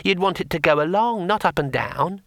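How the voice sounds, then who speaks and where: A small, gentle imp with a high, odd, slightly unreal voice that explains things slowly and patiently, as if stating plain facts, voiced by a man reading a storybook. The sound is bright and dry, close to the mic. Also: expressive, creaky, dry recording, heavily acted.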